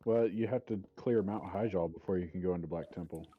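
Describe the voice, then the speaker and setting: Low-voiced laid-back gamer host. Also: male voice, a little fast, no room sound. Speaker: gaming streamer host. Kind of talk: casual gamer chat. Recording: dull lo-fi voice with faint music.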